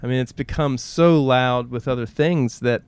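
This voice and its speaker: A man of average pitch co-hosts a conversational podcast in a close, dry recording. He muses earnestly, often posing questions and acting out little quotes as he reflects. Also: moderate pace, a little mellow, smooth, dry recording. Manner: earnest, poses rhetorical questions, acts out quotes. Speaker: podcast co-host.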